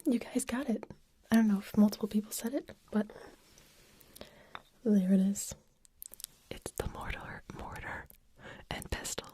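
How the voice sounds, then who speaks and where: Young female ASMR streamer, soft whisper, unhurried, reading chat between triggers. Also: medium pitch. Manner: soft whispering, chatting with viewers while making trigger sounds. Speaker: ASMR livestream host.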